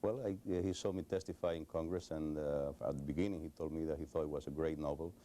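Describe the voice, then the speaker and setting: Deep, flat-voiced Cuban-accented man. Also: quick pace. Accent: Cuban Spanish. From Cuba. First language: Spanish. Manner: hesitant, many fillers and restarts. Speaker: interview guest. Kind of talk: recorded television interview.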